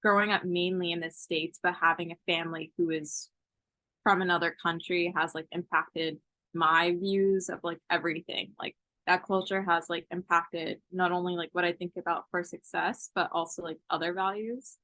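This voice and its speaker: Relaxed young woman, lots of fillers. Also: fairly low pitch, normal speed, dry recording. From Ethiopia, raised in Texas. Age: 20s. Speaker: college student guest. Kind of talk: casual interview answers.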